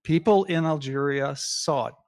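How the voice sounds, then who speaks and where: Higher-voiced man presenting astronomy slides. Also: a little mellow. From Canada. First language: not English. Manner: measured, emphatic lecturing with pauses.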